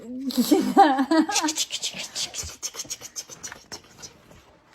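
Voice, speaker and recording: A woman's voice: high-pitched, animated, drawn-out words, a little mellow, slight background noise. A woman reading a picture book aloud to a young child as a teacher, with encouraging, exclamatory delivery. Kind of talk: interactive children's storytime read-aloud.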